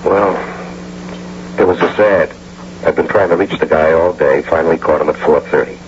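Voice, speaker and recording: A man's voice: extremely low pitch, fairly even intonation, normal speed. An actor playing a proud, indignant out-of-work engineer and family man in a radio drama. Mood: weary, dejected. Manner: flustered, protesting, stammering when upset. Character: indignant, proud unemployed engineer husband and father. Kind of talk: 1980 radio drama acting. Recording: noisy, muffled old radio broadcast.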